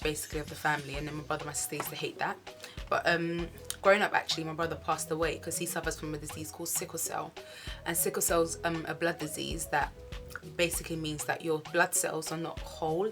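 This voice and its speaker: A young woman from Nigeria speaks to camera in a low, dark-toned voice, telling her story with halting ums and restarts. Music plays clearly behind her. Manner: nervous, hesitant, many ums and restarts. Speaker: vlogger sharing a testimony. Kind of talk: casual personal storytelling to camera.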